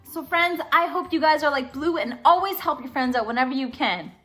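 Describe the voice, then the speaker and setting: A woman hosting a children's story time, reading a picture book aloud in an expressive, sing-song way. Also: sits high, fairly quick, faint music behind.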